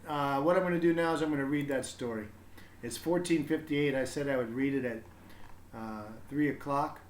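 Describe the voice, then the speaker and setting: A man's voice: medium pitch, moderate pace, slight background noise. A man talking casually on a livestream, explaining things in a rambling way as he thinks aloud. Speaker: live streamer reading to children. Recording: roomy, echoing room sound.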